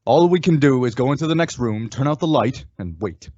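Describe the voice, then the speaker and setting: An actor playing a calm, determined male sheriff in an old-time radio western, measured and resolute. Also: mid-range voice, no echo, close to an everyday voice. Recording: dull lo-fi audio.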